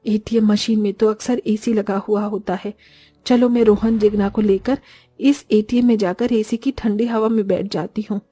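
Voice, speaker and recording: A woman playing a kindly, poor village grandmother who explains gently and patiently in a cartoon story. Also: medium pitch, steady tone, normal speed, natural voice. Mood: gentle.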